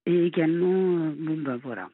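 Woman in her fifties, caller, husky voice, halting, hesitant, tinny phone line. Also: sits low, no echo. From France. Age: 50s. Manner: halting, hesitant, many fillers and restarts. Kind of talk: late-night radio call-in confession.

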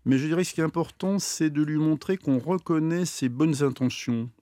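A man speaking as an expert guest on a radio talk show, halting, with many fillers and restarts. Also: fairly high pitch, dark tone, no echo, studio quality.

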